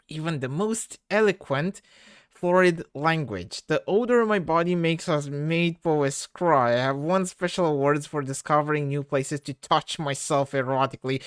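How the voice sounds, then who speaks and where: A woman voicing a shouty, foul-mouthed young male troll, reading comic dialogue in a loud, rapid, self-loathing rant. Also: sits low, clear, resonant tone, no echo, clean studio sound. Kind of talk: reading webcomic dialogue aloud.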